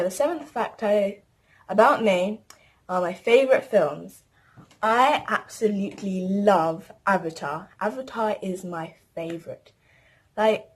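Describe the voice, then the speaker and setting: A woman's voice: mid-range voice, a little mellow. Chatty teen girl vlogging. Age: teens. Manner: chatty, rambling, lists things. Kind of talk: casual chat to camera.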